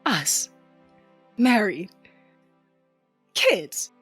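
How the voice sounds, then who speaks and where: A woman's voice: mid-range voice, very animated, drawn-out words, fairly bright tone, breathy edge, no room sound, soft background music, full character voice. A woman playing a tough, wounded, sardonic smuggler who tells her own story in an audio drama, intimate and wry. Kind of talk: first-person audio drama narration with dialogue.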